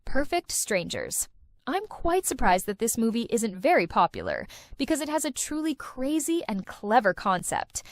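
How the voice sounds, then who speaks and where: A woman's voice: a little high, wildly swooping pitch, normal speed, dry recording, studio quality, faint music behind. A woman narrating a countdown of film and series recommendations in an enthusiastic, recommending tone. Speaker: video host. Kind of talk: scripted list-video narration.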